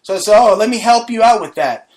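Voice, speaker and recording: A brash male host rants and swears, his voice sitting somewhat high for a man. He tells his stories in a combative, boastful way, with casual comedic timing. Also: lively intonation, fast talker, darkish tone. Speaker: show host. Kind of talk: profane comedic rant and storytelling.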